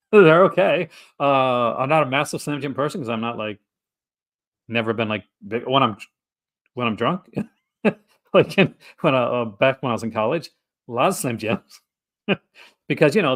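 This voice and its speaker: Brief: a man co-hosting a casual live-stream chat, mid pitch, rambling, laughs a lot. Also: lively intonation, fairly bright tone. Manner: rambling and laughing often, breaks into quick character voices. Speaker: co-host. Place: home setup for a live stream. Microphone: desk mic for a live stream.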